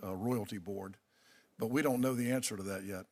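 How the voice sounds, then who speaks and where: A man giving formal testimony as an industry witness, answering questions in a guarded, halting way. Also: lowish voice, a little mellow. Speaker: witness, radio industry representative, at a hearing.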